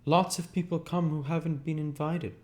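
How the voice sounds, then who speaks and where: A man's voice: fairly high pitch, steady tone, moderate pace, a little mellow, voice pushed up, close to an everyday voice. A man voicing a young society woman in an audiobook, defensive, insistent and emphatic. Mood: indignant. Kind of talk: audiobook character voice.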